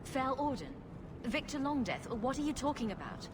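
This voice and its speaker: A woman's voice: high voice, big pitch swings, normal speed, noisy background. A woman playing a witty, sarcastic rogue adventurer heroine in a video game. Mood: brisk. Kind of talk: video game cutscene acting.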